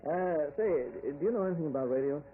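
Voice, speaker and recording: Through a tinny, faintly noisy old radio recording comes a man playing a jovial American traveling salesman. His pitch swings freely as he chats in a folksy, hesitant way, in the manner of 1940s radio drama. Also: expressive, fast, lightly acted. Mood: easygoing.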